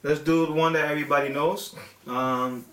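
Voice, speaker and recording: A man in his thirties, a father and religious teacher, gives an informal talk to viewers with many pauses, fillers and restarts. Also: on the high side, fairly even intonation, a bit bright. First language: English. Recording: echoey room.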